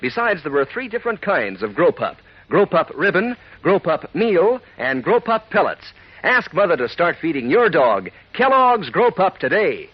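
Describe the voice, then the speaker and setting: An American man announcing a 1940s radio commercial, pitching chummily and emphatically to children. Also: husky, no room sound. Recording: muffled old radio recording, music.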